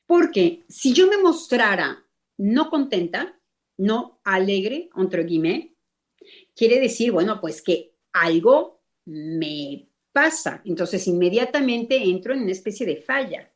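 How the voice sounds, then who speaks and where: A woman whose first language is not Spanish, speaking slowly and reflectively with many fillers and pauses. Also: medium pitch, sing-song. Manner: hesitant, many fillers, slow thoughtful pauses. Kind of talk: reflective spoken explanation.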